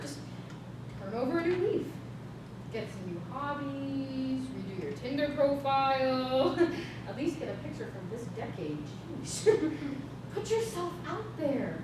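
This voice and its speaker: A woman's voice: high voice, very animated, a little slow. A woman playing a sassy, sarcastic talking dog in a staged play reading. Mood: urging, wry. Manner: sarcastic, slangy. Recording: echoey, noisy stage recorded from far off.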